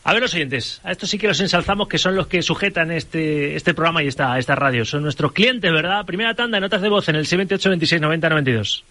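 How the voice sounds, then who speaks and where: Man hosting sports radio, bright voice. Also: fairly high pitch. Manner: brisk, keeps order, quick asides. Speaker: radio show host. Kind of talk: moderating a sports radio talk show.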